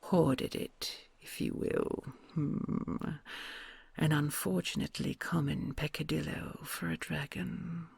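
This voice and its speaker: A woman's voice: low-pitched, fairly even intonation, dry recording, exaggerated voice. A woman voicing a kind, solemn and lonely female dragon queen in an audio roleplay, whispering in formal, courtly phrasing. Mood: tender whisper. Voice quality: whispery, gravelly. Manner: formal, courtly old-fashioned phrasing, soft and slow.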